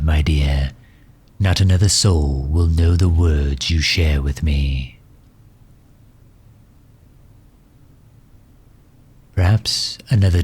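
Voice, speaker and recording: A man playing a courtly megalomaniac villain in an ASMR audio drama, speaking formally and with measured, gentle solicitude. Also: exaggerated voice. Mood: tender, patient. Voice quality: husky, low. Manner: formal, measured, silky endearments.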